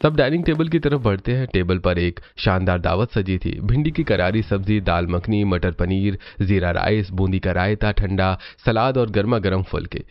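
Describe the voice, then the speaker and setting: A man's voice: low voice, normal speed, hardly any pauses, dry recording. A man narrating a scripted cartoon story. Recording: muffled audio over loud music.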